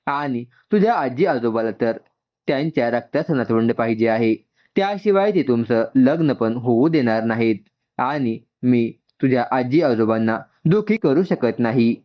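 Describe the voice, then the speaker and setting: A man's voice: medium pitch, animated, moderate pace, close to an everyday voice. A man voicing a firm, demanding middle-aged mother in a told story, speaking insistently. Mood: firm insistence. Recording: dull, muffled lo-fi sound.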